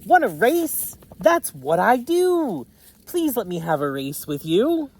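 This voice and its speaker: A woman's voice: on the high side, sing-song, slow pace, darkish tone, voice pushed up, full character voice, rough recording. A woman voicing an eager, boastful young female race car in a storybook, pleading to race.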